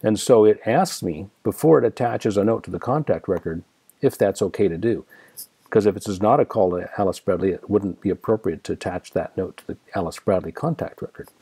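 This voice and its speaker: Male American tutorial presenter, dark soft-edged tone, dry close recording, explains each step methodically. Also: on the low side, a little fast, husky, dry recording. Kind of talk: step-by-step screen demonstration narration.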